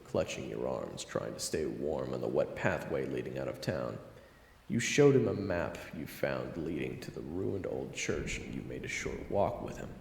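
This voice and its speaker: A man with a gravelly voice narrating a scripted fantasy story, addressed to the listener in the second person. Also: extremely low pitch, darkish tone, echoey room, in a large hall, a little hiss or hum. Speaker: narrator of an audio roleplay series.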